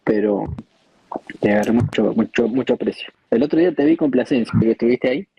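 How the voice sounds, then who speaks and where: A man's voice: mid-range voice, fairly quick, creaky, warm tone, slight background noise, low-quality recording. An Argentine man joining a debate as a caller, chatting casually in a friendly way and repeating his phrases. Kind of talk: casual chat.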